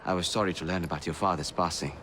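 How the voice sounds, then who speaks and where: A courteous assassin with formal, earnest speech. His voice sits on the low side. Faint background noise stays under it. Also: fairly even intonation, fast talker, bright, forceful tone. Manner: formal, polite.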